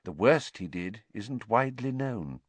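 A man's voice: on the low side, animated, measured pace, no room sound, pitched above the performer's own voice. A man voicing a pompous, blustering middle-aged government minister in a storybook. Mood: grave, curt.

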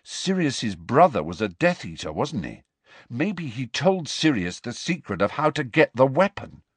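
Guy doing a bewildered teen sidekick, quiet and unsure, loyal, audiobook style, dry close sound. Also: mid-range voice, big pitch swings, measured pace, slightly rough, no room sound, voice pushed up. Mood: worried, hushed. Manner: quiet, tentative. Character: worried, loyal teenage boy, bewildered sidekick. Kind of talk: audiobook character acting.

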